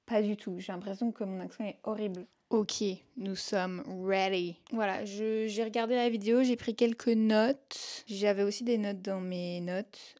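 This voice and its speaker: A woman, vlogging in a chatty, self-mocking way with quick asides. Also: mid-range voice, fairly even intonation, mellow. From Belgium. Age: 20s. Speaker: vlogger and au pair. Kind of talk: casual vlog chat.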